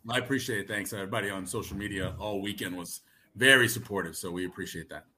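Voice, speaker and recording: A man in his fifties joins a podcast as a guest and jokes around in a wry way. His voice is bright and forceful, with a faint noise underneath. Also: fairly low pitch, fast talker. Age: 50s. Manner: wry, joking. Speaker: guest, sports broadcaster. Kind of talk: casual podcast interview.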